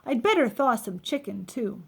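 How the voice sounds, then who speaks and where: A woman's voice: a little high, big pitch swings, normal speed, creaky, slightly rough, exaggerated voice. A woman voicing a cheerful, earnest, literal-minded housekeeper and babysitter from a children's storybook. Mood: cheerful, helpful.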